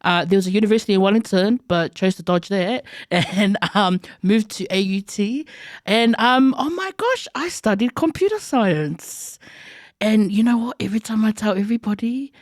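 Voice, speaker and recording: A woman's voice: mid-range voice, lively intonation, normal speed, no room sound. A young woman from New Zealand with a New Zealand accent talks about her background in a podcast. She is playful and upbeat and laughs between lines. The recording is dry and close. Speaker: podcast co-host. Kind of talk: casual podcast chat.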